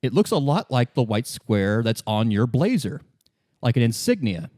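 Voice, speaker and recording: A man acting as game master, narrating a tabletop game in an informal, halting, improvised way. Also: medium pitch, sing-song, warm tone, no echo, studio quality.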